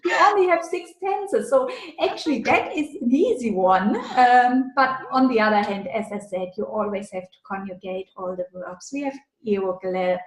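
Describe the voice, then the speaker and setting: A woman's voice: medium pitch, normal speed, few pauses, reverberant, poor audio. A woman with a German accent, an online German teacher, answering interview questions casually and explaining with examples. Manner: thoughtful, explains with examples, frequent fillers.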